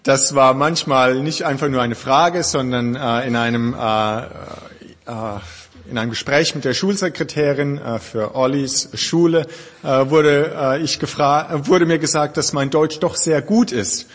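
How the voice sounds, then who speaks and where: A man preaches in a relaxed, conversational manner, stopping now and then to search for words. His voice is slightly husky. A long reverb trails behind him, as in a large church. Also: medium pitch, unhurried. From Germany. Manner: thoughtful, conversational preaching with frequent hesitations. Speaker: pastor. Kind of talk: sermon.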